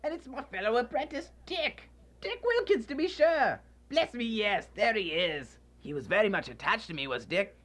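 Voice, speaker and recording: A man's voice: sits high, sing-song, normal speed, fairly bright tone. A man playing an anxious, remorseful old miser in a theatrical, pleading, exclamatory radio drama style. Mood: excited delight. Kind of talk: live student radio drama acting.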